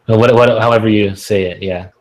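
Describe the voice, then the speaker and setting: A man's voice: on the low side, steady tone, fairly quick. A Canadian man in casual group chat on a video call, rambling with many fillers.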